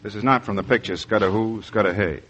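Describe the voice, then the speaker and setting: A man's voice: fairly low pitch, fast, fairly bright tone. A man hosting a 1940s radio variety show, with scripted announcing and banter, on an old muffled broadcast recording.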